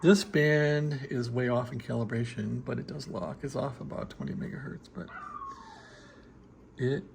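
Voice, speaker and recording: A man giving a casual, rambling show-and-tell as a hobbyist, laid-back and halting as he thinks aloud. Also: medium pitch, vocal fry, a little hiss or hum. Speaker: hobbyist showing a restored radio receiver.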